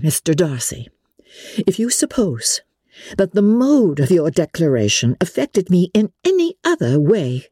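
A woman voicing an indignant, spirited young gentlewoman from a novel, speaking in formal, cutting, emphatic phrases. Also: medium pitch, no room sound, clean studio sound, pitched above the performer's own voice.

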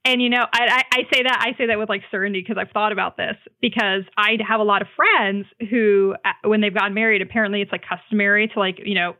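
A woman in casual podcast conversation, with a bright, sharp-edged voice at a middle pitch. She is informal and emphatic, working out her opinions as she speaks. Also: steady tone, fast talker, hardly any pauses, poor audio. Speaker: podcast guest.

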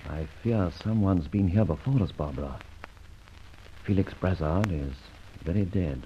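An actor playing a suave, secretive gentleman adventurer in an old radio drama, with polished, formal, wryly teasing speech. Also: low voice, unhurried. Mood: hushed, grave. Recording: muffled old radio recording, background hiss.

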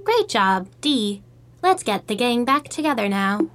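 A woman's voice: a little high, sing-song, measured pace, fairly bright tone, faint music behind. A woman playing a cheerful artificial intelligence matchmaking host in an audio drama, speaking in scripted, upbeat stock phrases. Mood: cheerful, polite.

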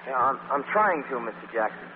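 A man's voice: mid-range voice, sing-song, fairly quick, lightly acted. An actor playing a blunt, bewildered young man who speaks in curt, baffled bursts in a 1940s radio play. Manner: curt, baffled, repeats short words. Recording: tinny old radio broadcast with hiss.

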